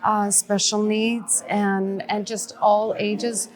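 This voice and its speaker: A woman giving earnest, somewhat halting casual answers as an interview guest. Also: mid-range voice, little pitch movement. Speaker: gallery owner and artistic director, interview guest. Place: busy art gallery reception. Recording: busy crowd chatter behind.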